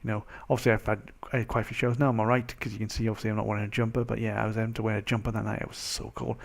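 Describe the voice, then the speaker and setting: A young man with a mild Welsh accent, chatting casually as a vlogger in a rambling, animated, self-interrupting way. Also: lowish voice, rapid-fire, vocal fry. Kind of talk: casual chat to camera.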